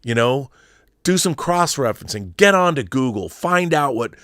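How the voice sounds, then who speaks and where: A man's voice: mid-range voice, expressive, moderate pace, fairly bright tone, clean tone, no echo, clean studio sound. A middle-aged American man hosting a casual, opinionated livestream, rambling with enthusiasm and emphasis. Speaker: YouTube show host and filmmaker.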